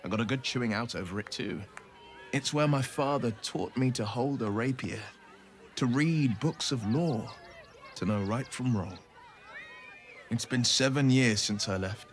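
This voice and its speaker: An actor playing an earnest, noble young adventurer in a video game, with a heartfelt and eloquent delivery. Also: male voice, mid-range voice, a little slow, close to an everyday voice. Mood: fond, nostalgic. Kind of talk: dramatic video game acting.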